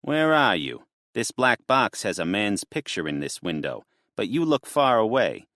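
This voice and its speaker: A man voicing a menacing male mobster boss with an old-movie gangster manner, slow and mocking. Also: fairly low pitch, very animated, fairly bright tone, no echo, studio quality, heavily acted. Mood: commanding.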